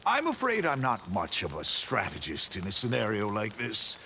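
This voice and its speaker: Chivalrous game knight, florid and theatrical, good-natured bumbler, extremely varied intonation, creaky edge. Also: male voice, lowish voice, huge pitch swings, normal speed, pushed and bright, a little hiss or hum, full character voice. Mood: flustered, hesitant. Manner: grandiose, florid, flustered hesitations. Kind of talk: theatrical video game character acting.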